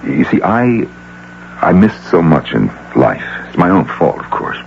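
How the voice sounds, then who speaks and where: An actor playing an earnest, lovestruck middle-aged businessman in a radio drama, halting and emphatic, with a gravelly American voice. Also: low-pitched, big pitch swings, full character voice. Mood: earnest, wooing. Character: earnest, lovestruck wealthy businessman, awkward yet insistent suitor. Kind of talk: old-time radio drama acting. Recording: dull hissy radio drama recording with hum.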